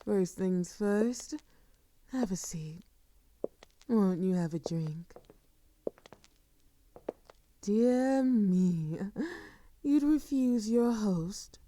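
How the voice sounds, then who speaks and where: Slow, velvety dark vampire seductress played by a woman. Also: medium pitch, animated, a little hiss or hum. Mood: mock-gracious, coaxing. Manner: silky, formal, teasing, often dropping to a whisper. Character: sadistic, seductive female vampire, courtly and predatory. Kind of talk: intimate audio roleplay acting. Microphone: close microphone, dry.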